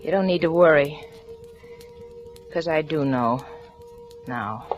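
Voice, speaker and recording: A woman's voice: deep voice, slow pace. A woman playing a killer's anxious girlfriend in a 1940s American radio thriller, plaintive, urgent and needling. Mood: worried, subdued. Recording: dull old radio recording with music.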